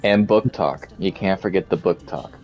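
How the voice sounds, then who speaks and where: A man in casual stream chat, relaxed and teasing, telling stories at length. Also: low voice, moderate pace, highs cut off, faint music behind.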